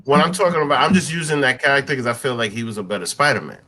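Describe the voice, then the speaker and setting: Man, medium pitch, sharp bright tone, casual chat, defensive, seeks agreement. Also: very fast. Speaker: panelist.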